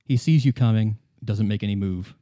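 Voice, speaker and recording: A man acting as game master, narrating a story in the second person in an informal, halting, self-correcting way. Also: lowish voice, warm tone.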